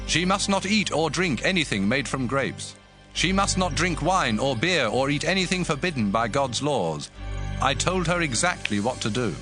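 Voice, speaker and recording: A man voicing the Lord's angel, measured and solemn, in a dramatized Bible reading. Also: fairly high pitch, sing-song, music in the background, pitched above the performer's own voice.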